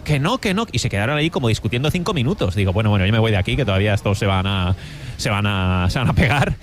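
A man's voice: fairly low pitch, lively intonation, fast, slight background noise. A man with an accent from Spain, a sports reporter chatting casually and telling anecdotes on the radio. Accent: Spanish from Spain.

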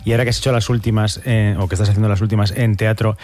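A man's voice: fairly low pitch, steady tone, rapid-fire, a little mellow. A man with a Castilian accent from Spain, casually interviewing a guest in conversation. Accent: Spanish from Spain.

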